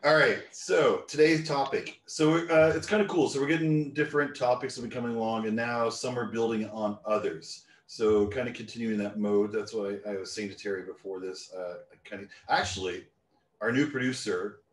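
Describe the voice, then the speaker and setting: A man's voice: on the low side, fairly even intonation, moderate pace, distant mic. A man from Newfoundland hosting a casual podcast, loose and joking, laughing often. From Newfoundland, Canada. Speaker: podcast host. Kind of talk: casual chat. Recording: roomy sound, a bit off mic.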